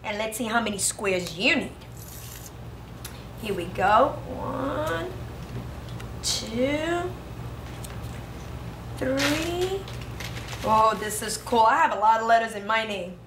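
A woman's voice: fairly high pitch, takes it slowly, pauses often. A woman in her thirties teaching a craft lesson to young children, in a sing-song, exclamatory way. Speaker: preschool teacher. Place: indoor preschool classroom. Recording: roomy classroom echo, faint background noise.

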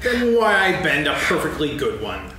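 A man's voice: a little high, wildly swooping pitch, measured pace, pushed and bright, heavily acted. A man reading the part of a gloomy, sarcastic old donkey in a staged reading of a children's play, with a dry, mournful delivery. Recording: echoey stage, distant mic, background noise.

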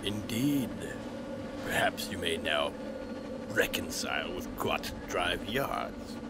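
Slow, low, sinister emperor. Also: male voice, very animated, gravelly, heavy background noise, heavily acted. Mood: sly, commanding. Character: sinister, gloating galactic emperor, probing and suspicious. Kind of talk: science-fiction audio drama acting.

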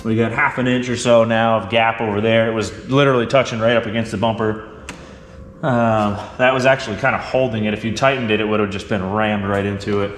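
A man's voice: on the low side, steady tone, fairly quick, soft background music. A man in his thirties giving a casual, candid hands-on install walkthrough to camera in a garage workshop. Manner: candid, informal, explanatory. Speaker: mechanic and video host. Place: roomy indoor garage workshop. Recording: echoey garage, clear background noise, clicks.

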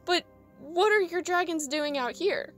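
Woman voicing a high-pitched, eager young female dragon. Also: expressive, measured pace, faint music behind. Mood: curious. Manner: eager, urgent. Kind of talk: audio drama acting.

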